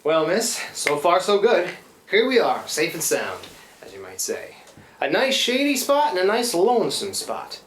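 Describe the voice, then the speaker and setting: A man acting in a live radio play as a rough-spoken, easygoing Canadian river boat pilot with folksy, rambling, ungrammatical speech. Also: high voice, huge pitch swings, unhurried, a bit bright, exaggerated voice. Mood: chatty. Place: live stage performance in a room. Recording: roomy live stage recording, faint noise.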